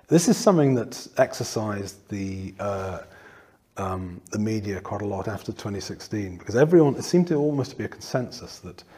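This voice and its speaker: A man from northeast England who interviews a guest on politics, rambling through restarts and fillers into long questions. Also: on the low side. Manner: rambling, many restarts and fillers, lively asides. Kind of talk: political discussion.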